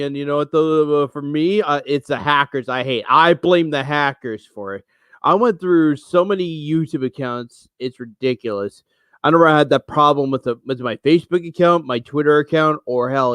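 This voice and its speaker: A man's voice: mid-range voice, clean tone, no room sound. Man in his thirties, livestream rant, rambling, frustrated, headset mic, dry small room. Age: 30s. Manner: rambling, frustrated, many restarts. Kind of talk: casual solo livestream rant. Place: small room at home, indoors.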